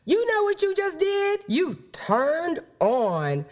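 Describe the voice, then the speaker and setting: A woman's voice: very high-pitched, wildly swooping pitch, takes it slowly. A woman hosting a playful, flirty talk show about sex and relationships, her voice stuffed up from a cold. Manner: playful, flirty, talks straight to listeners. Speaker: podcast host.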